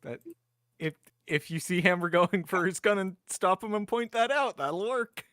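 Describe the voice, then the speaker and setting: A man's voice: high-pitched, moderate pace, fairly bright tone, dry recording, clean studio sound. A man chatting casually as a player in a tabletop roleplaying game. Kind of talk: casual group chat during a game.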